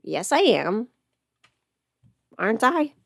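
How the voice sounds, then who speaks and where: A woman's voice: on the high side, big pitch swings, takes it slowly, creaky, a bit bright, husky, no echo, studio quality. A woman who is sick, chatting casually on a livestream as host, thinking aloud and interrupting herself. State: sick at home with covid. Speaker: livestream host, audio creator. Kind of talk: casual rambling livestream chat. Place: at home.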